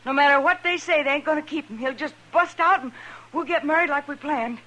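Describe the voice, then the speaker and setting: A woman's voice: high voice, fairly quick, fairly bright tone, low-quality recording. An American actress playing a defiant young frontier woman in a 1950s radio Western, blunt and bitter with rustic speech, on an old recording. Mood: angry, defiant.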